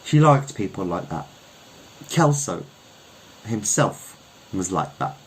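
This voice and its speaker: A man's voice: deep voice, big pitch swings, slow, low-quality recording. A man reading a literary short story aloud as its narrator. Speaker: story reader.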